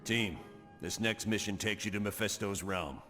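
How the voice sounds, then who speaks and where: An authoritative leader briefing his team in a video game. His voice is on the low side and measured, ringing in a hall over soft music. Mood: calm briefing. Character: calm, authoritative male superhero team commander. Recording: long reverb with music.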